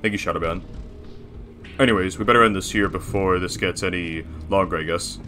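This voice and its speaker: Man voicing a bright, low, bossy cartoon bear. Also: a little fast, a little hiss or hum. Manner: bossy, self-satisfied, rambling. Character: boastful, bossy, threatening cartoon bear boss. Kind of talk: improvised character reaction banter.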